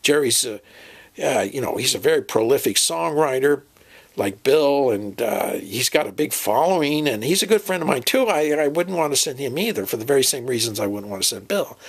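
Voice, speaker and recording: A man's voice: mid-range voice, lively intonation, a little fast, husky. A man performing informal comic dialogue as himself, hesitant, rambling and folksy in his way of talking. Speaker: sketch performer playing himself.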